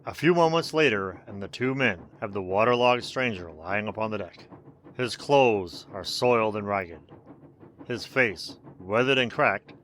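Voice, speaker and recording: Sinister, courteous radio storyteller; low register, theatrical, measured delivery. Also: male voice, fairly bright tone, a little hiss or hum. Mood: grave. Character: mysterious male storyteller host, sinister and courteous. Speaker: narrator and host. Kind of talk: old-time radio horror narration.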